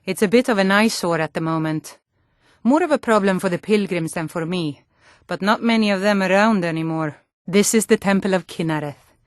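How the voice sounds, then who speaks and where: An actress playing a sturdy, earnest Nord woman in a fantasy video game, with clear, deliberate delivery. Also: medium pitch, no echo, clean studio sound, natural voice.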